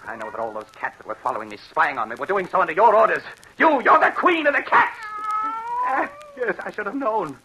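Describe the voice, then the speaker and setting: A man's voice: high voice, very animated, a little fast, bright, forceful tone, heavily acted, rough recording. An actor playing a haunted, fearful man in an old radio drama, melodramatic and intense, stammering under strain. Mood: accusing, frenzied. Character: haunted, fearful man tormented by a ghostly cat-woman. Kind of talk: 1940s radio drama acting. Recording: hissy old radio broadcast.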